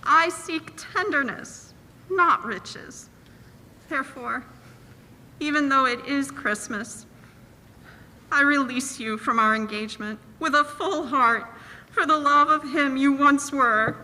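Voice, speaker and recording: A woman's voice: sits high, takes it slowly, bright, forceful tone, slight background noise, exaggerated voice. An actress playing a sorrowful, tender young woman in a 1940s-style radio play, with an earnest, theatrical delivery. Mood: sad resolve. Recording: reverberant hall, faint music.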